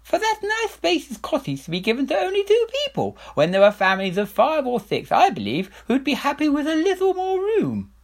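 A man voicing a vain, skittish king who speaks in an airy, evasive, hurried way. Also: extremely high pitch, huge pitch swings, pitched above the performer's own voice, exaggerated voice. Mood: airy, nervous.

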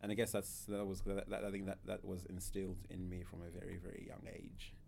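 A man's voice: sits low, completely flat delivery, fairly quick, gravelly, background noise. A man in his thirties from Zimbabwe, a podcast guest who talks hesitantly with many fillers and restarts. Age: 30s. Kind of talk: casual interview.

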